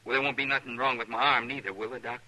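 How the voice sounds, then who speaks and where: An American actor playing a boastful, pleading wounded gunfighter in a radio western, with a rustic drawl and rough grammar. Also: male voice, medium pitch, fairly even intonation, quick pace, bright, forceful tone, low-quality recording. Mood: anxious, pleading. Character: whining, boastful wounded gunfighter of the Old West. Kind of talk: 1950s radio western acting. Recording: old-time radio recording.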